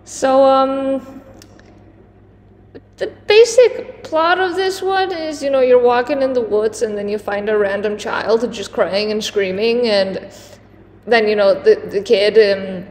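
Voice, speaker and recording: Female vlog host, slow pace, conversational with dramatic emphasis, roomy echo and background noise. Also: a little high, steady tone, a little mellow. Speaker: host of a countdown video. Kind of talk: casual commentary to camera. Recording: echoey reverberant room, clear background noise.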